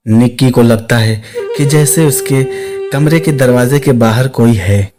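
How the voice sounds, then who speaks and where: A man's voice: medium pitch, a little slow. A man narrating a scripted suspense story, with music playing behind his voice. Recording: clear music under the voice.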